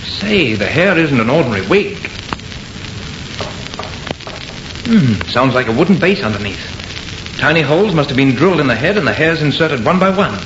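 An actor playing a confident, scoffing artist in an old-time radio horror play, with a brisk, assured, theatrical manner. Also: male voice, fairly high pitch, big pitch swings. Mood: admiring. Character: confident, scoffing male artist, enthusiastic and unafraid. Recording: muffled, echoey old radio recording, heavy noise.

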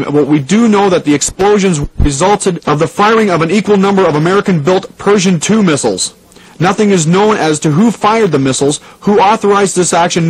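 An actor playing a radio newsreader who delivers an alarming war bulletin in a formal, measured announcer's manner, in an audio drama. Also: male voice, high voice, a little hiss or hum, close to an everyday voice, poor audio. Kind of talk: dramatized breaking news bulletin.

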